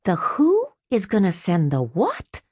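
A woman's voice: mid-range voice, huge pitch swings, measured pace, a little mellow, slightly rough, dry recording, exaggerated voice. A woman voicing an exasperated woman shop owner with a dry, sarcastic tongue. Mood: sardonic.

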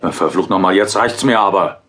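An actor playing a hard-bitten, exasperated police inspector in a German radio play, blunt and dramatic. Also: male voice, low voice, brisk, a bit bright, breathy edge. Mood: exasperated, incredulous.

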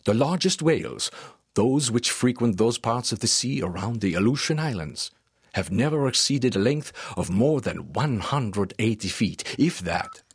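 An actor playing a French professor of natural history, narrating a dramatized audiobook in a formal, measured way. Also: male voice, medium pitch, lively intonation, husky, full character voice. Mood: measured, intrigued.

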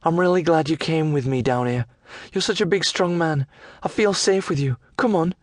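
A man voicing a brash, coarse young woman who wheedles, taunts and flares up fast. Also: dry recording, studio quality, natural voice. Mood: wheedling. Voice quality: shrill, coarse, mocking. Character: brash young woman, rough-mouthed, quick to anger.

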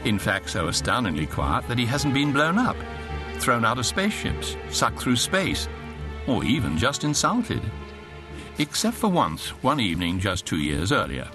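An actor as a wry, urbane narrator of a comic science fiction radio drama. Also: male voice, medium pitch, big pitch swings, over music, natural voice. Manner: wry, precise, measured irony. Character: wry, urbane storybook narrator of galactic absurdities. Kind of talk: scripted narration, radio comedy.

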